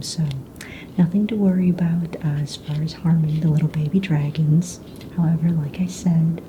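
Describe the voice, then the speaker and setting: A woman whispering softly in a breathy voice, playing a casual, unbothered fantasy mistress of ceremonies in an ASMR roleplay. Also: low-quality recording. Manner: casual, hesitant, matter-of-fact explaining. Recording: clear low rumbling background noise.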